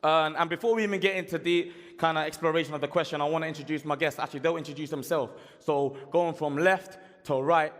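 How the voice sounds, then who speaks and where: A man hosting a casual living-room debate show, loud and playful. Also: on the high side, fairly even intonation, quick pace, few pauses. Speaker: host. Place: living room with a live audience. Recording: long reverb from the room.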